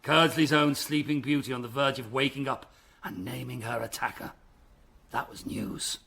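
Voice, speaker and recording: A man's voice: mid-range voice, fairly even intonation, normal speed, creaky, sharp tone, breathy edge, full character voice. An actor playing a self-important but easily frightened male small-town newspaper editor, theatrical and flustered, in a radio drama. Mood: sheepish.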